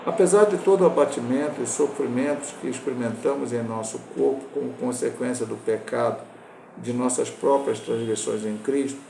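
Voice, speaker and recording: A Brazilian man in his sixties, a pastor teaching a Bible lesson, talking in a halting way with restarts and self-corrections. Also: mid-range voice, gravelly, mellow, echoey room, a little hiss or hum, low-quality recording. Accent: Brazilian Portuguese. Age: 60s.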